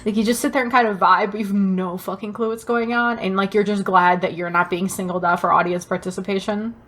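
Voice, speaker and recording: A woman co-hosting a podcast in casual chat, telling things in an animated, emphatic way with plenty of swearing. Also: mid-range voice, brisk. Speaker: podcast co-host. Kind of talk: casual pop-culture chat.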